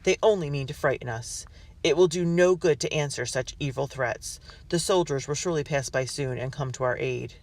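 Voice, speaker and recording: A narrator voicing a colonial merchant father who gives orders and tries to calm his family, low and steady. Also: normal speed, recorded from a distance, natural voice. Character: stern colonial merchant father, fearful underneath.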